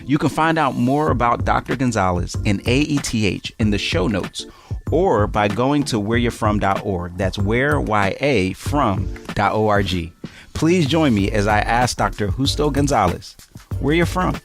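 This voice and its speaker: Upbeat low-voiced podcast host over music. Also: male voice, animated, normal speed, vocal fry. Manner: upbeat, enthusiastic introduction. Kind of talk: scripted intro and interview questions. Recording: music bed under intro.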